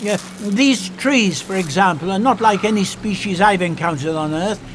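A man's voice: sits high, normal speed, background noise, lightly acted. An actor playing an elderly, fussy, grandfatherly male time traveller who lectures with hums and hesitations in an audio drama. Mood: lecturing.

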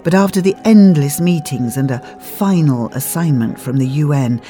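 A woman's voice: low voice, normal speed, warm tone, faint music behind. A woman reading scripted narration of a ghostly mystery story as an audiobook narrator.

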